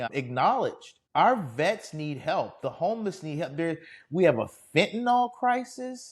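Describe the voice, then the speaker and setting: A forty-year-old man hosts his own podcast, pitching rhetorical questions straight at his listeners. His voice sits high for a man and swings widely up and down as he presses each point. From Texas. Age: 40s. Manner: emphatic, rhetorical, addressing the audience directly. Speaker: podcast host. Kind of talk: solo podcast monologue.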